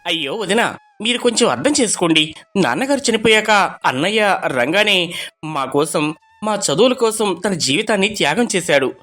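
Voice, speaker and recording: A man playing a gentle, dutiful village son and brother in a dramatized moral story, earnest and pleading. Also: sits high, lively intonation, normal speed.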